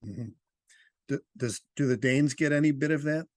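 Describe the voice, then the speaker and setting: A man's voice: on the high side, normal speed, no echo. Conversational man interviewing in close, dry sound. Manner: encouraging, jokey, teasing.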